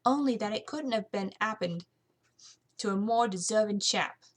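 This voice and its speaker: A woman's voice: medium pitch, normal speed, lightly acted. A woman puts on a saucy young English maid from a period storybook. She talks back with scornful cheek in a put-on British accent, disrespectful to her betters. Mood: insolent. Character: pert, insolent young English housemaid with a sharp tongue.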